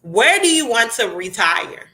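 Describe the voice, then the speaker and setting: A young adult woman, hosting a casual live chat in a chatty, animated way and sounding congested. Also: a little high, unhurried. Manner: chatty, animated, laughs often. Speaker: host.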